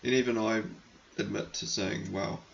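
Hoarse, gravelly man losing his voice. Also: on the low side, fairly even intonation, moderate pace, fairly bright tone, highs cut off, slight background noise. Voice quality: gravelly, croaky. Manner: rambling, chatty, frank, sometimes crude. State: losing his voice, clearing throat. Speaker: hockey podcast host. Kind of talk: casual solo podcast, answering listener questions.

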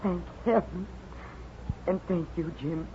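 A woman's voice: fairly low pitch, takes it slowly, dull audio. A thin, faintly noisy old radio recording carries a young frontier woman. She is earnest and devoted, speaking with the heightened drama of a 1950s western serial. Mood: grateful, anxious.

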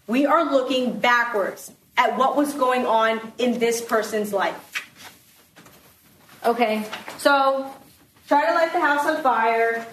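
A woman's voice: on the high side, takes it slowly, fairly bright tone, distant mic. A woman prosecutor giving a closing argument in court, putting rhetorical questions to the jury. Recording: roomy courtroom with faint noise.

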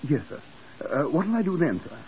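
A man's voice: on the high side, very animated, moderate pace, a little mellow. An actor playing a flustered, insistent hotel man, fussy and deferential, in a 1950s radio drama. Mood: flustered, eager. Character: fussy, excitable hotel man, jumping to conclusions. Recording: muffled, hissy 1950s radio recording.

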